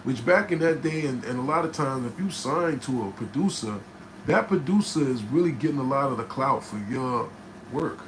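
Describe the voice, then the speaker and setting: A man from New Jersey, a rapper, telling a studio story in casual, profane style with a raspy voice, often asking "right?". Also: medium pitch, moderate pace, low-quality recording. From New Jersey, USA. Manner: animated, profane, keeps checking in with "right?". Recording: noticeable background noise.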